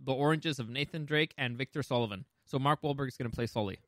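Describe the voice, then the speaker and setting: A man's voice: mid-range voice, fast talker, fairly bright tone, no echo, clean studio sound. A man hosting a casual movie chat, opinionated and emphatic, swearing freely. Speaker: podcast host.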